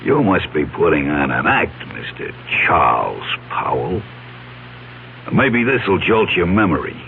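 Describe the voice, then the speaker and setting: An actor playing a mocking, menacing blackmailer with a taunting delivery in a radio drama. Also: male voice, rumbling low, very animated, unhurried, vocal fry, heavily acted. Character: menacing male blackmailer, slow, mocking and knowing. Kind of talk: 1970s radio drama acting. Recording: muffled lo-fi old radio recording, faint hiss.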